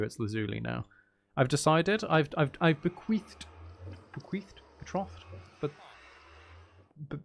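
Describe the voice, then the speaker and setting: A man with a gravelly voice giving casual, rambling, sweary commentary as he thinks aloud while playing a video game. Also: on the high side, slight background noise. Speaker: gaming video host.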